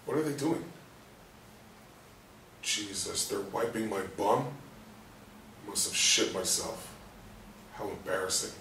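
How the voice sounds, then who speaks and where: An actor in a play reading voicing a frightened, confused paralysed man's inner thoughts in halting, anguished bursts. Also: fairly low pitch, sharp tone, heavily acted. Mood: bewildered. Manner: halting, anguished self-talk in short bursts. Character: frightened, confused man trapped in his paralysed body, sweary. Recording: roomy, distant mic, faint noise.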